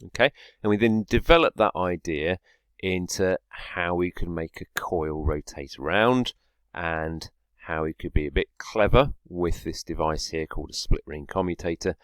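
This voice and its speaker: Very deep-voiced male science teacher. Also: no room sound. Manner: step-by-step explaining, checking in with "okay". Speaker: physics teacher. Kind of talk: explanatory science lesson.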